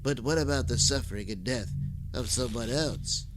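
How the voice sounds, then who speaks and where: A man's voice: medium pitch, moderate pace, music in the background, natural voice, poor audio. A man playing a sly, mock-polite Martian governor in a campy space-opera audio drama. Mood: smug, taunting.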